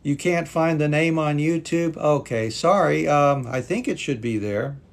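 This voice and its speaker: Guy teaching english on a live stream, slow and patient, voice a bit high, reads comments out and thanks people. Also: gravelly. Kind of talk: live-stream language class chat.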